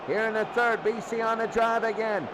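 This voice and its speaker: A man's voice: sits high, steady tone, a little fast, a crowd in the background. A man giving live play-by-play football commentary in short, clipped calls with repeated phrases. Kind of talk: live radio sports commentary. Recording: very noisy game ambience.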